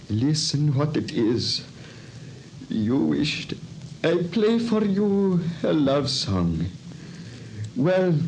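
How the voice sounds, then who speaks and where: A dying violinist's curse. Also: male voice, on the high side, a little mellow, a little hiss or hum, heavily acted, rough recording. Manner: slow, solemn and vengeful. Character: dying Italian violinist cursing his betrayers. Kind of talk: 1930s radio acting.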